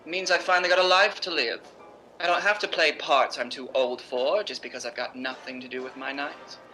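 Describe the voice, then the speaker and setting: Man playing middle-aged theatre diva: forceful bright voice, imperious, wry, emphatic radio-play delivery, faint music behind. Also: medium pitch, a little fast, close to an everyday voice, rough recording. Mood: insistent. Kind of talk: recreated 1950s radio drama acting.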